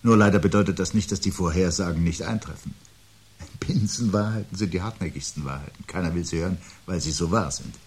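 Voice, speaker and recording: A man's voice: deep voice, brisk, husky. An actor playing a weary, gloomy intellectual man in a radio play, speaking in a pensive, pedantic and declamatory way. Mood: ominous, lecturing. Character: gloomy, weary intellectual man who dreads birds and doom. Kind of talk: 1970s German radio play dialogue. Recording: hissy 1970s radio recording.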